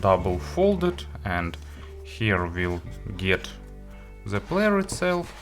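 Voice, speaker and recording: A man presenting a product review as host, talking through it in a halting, hesitant way with frequent pauses. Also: on the low side, big pitch swings, faint music behind.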